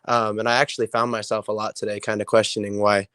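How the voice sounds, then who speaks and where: A hoarse young man with a raspy voice, a player answering interview questions in a rambling way with many ums. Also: lowish voice, flat delivery, fast talker, fairly bright tone, dry recording, clean studio sound. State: hoarse from illness. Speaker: player guest.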